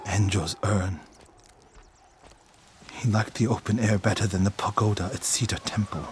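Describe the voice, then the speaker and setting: A man's voice: on the low side, steady tone, normal speed, a little hiss or hum, lightly acted. Man playing a grieving, vengeful warrior monk. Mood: grim, resolved. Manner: solemn, weighty, intense. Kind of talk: dramatic video game cutscene acting.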